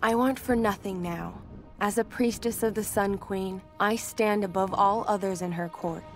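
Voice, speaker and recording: A young priestess, played by a woman in a game, confides in her diary with earnest, measured words. She sounds dutiful yet uneasy, at a middling pitch, with soft music behind her. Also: fairly even intonation, lightly acted. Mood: troubled. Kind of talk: acted reading of a diary.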